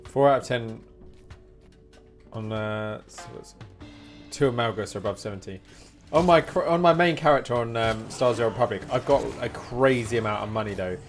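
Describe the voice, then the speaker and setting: A man's voice: medium pitch, moderate pace. Male streamer, casual gaming chat, replies to viewers, music behind. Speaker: live streamer.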